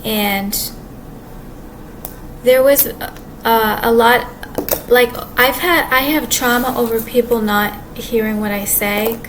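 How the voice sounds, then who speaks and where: Woman, soft quiet voice, slightly high, halting casual vlog talk; audible background ambience. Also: fairly even intonation, low-quality recording. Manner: rambling, halting, many restarts and fillers. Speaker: vlogger. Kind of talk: casual monologue to camera. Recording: clear background ambience noise.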